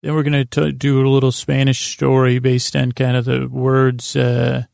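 A man with a creaky, gravelly voice hosting a bedtime podcast, rambling in a halting, meandering way with stammered tangents and pauses to lull listeners to sleep. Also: mid-range voice, completely flat delivery, a little mellow, dry recording, studio quality.

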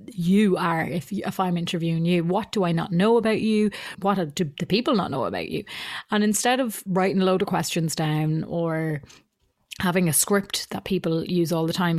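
A woman's voice: lowish voice, dry recording, studio quality. Chatty Irish woman hosting a podcast. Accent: Irish, mix of Midlands and Dublin. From Ireland. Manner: chatty, rambling, laughs often. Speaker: podcast host. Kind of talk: casual podcast intro.

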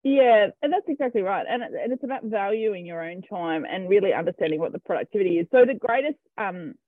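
Aussie farmer guy, high-ish voice, lots of ums, sounds like he's on the phone. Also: lively intonation, fast, no echo. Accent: Australian. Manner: hesitant, full of ums and restarts. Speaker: cattle farmer guest. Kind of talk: casual interview answers. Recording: tinny phone-line sound, dry.

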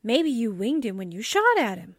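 A woman's voice: a little high, big pitch swings, normal speed, no room sound, studio quality. A woman playing a breezy, streetwise sidekick in a radio drama, with a wry, slangy way of talking.

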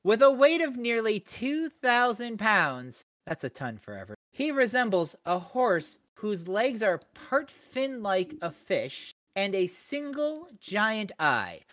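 A man's voice: sits high, takes it slowly, few pauses. A man co-hosting a podcast, with a voice that swings up and down a lot as he reads an excerpt and jokes around. The sound is dry, close and without room echo. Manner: jokey, animated reading with lively asides. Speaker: podcast co-host. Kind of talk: casual podcast chat, reading an excerpt aloud.

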